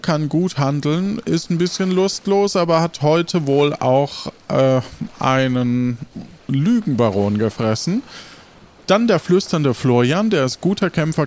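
Slow-paced male game master. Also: fairly high pitch, darkish tone. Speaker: game master, podcast host. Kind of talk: narrating an audio role-play game.

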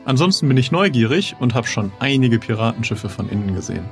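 A man's voice: mid-range voice, sing-song, fast, over loud music. A man taking part as a player in casual improvised role-play, thoughtfully feeling his way through ideas. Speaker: player in an adventure game.

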